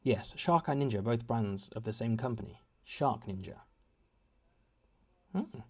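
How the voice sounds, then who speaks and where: A man's voice: medium pitch, lively intonation, measured pace, a little mellow. A young man chatting at home in a casual vlog, rambling and self-deprecating with many restarts. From United Kingdom. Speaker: vlogger. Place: home, indoors.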